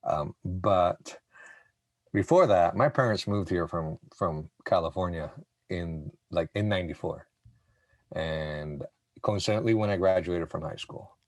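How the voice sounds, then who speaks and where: A man's voice: sits low, measured pace, dry recording. A man in his forties from Puerto Rico, a podcast guest telling stories in a casual, candid, informal way. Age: 40s. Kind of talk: casual conversational storytelling.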